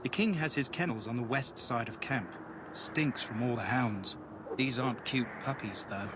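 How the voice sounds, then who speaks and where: Over clearly noisy audio, a man acting as a plainspoken fantasy game soldier talks in a mid-pitched voice. He is colloquial and chatty, with a wry grumble, like a guard who has seen the whole camp. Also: moderate pace, lightly acted. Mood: grumbling. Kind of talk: video game dialogue.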